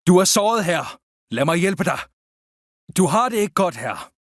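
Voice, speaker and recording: A man voicing a reassuring army surgeon in a video game, with measured, soothing delivery. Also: high-pitched, sing-song, no room sound, studio quality. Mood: calm, reassuring. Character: calm, reassuring male army surgeon tending wounded soldiers. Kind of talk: video game character voicelines.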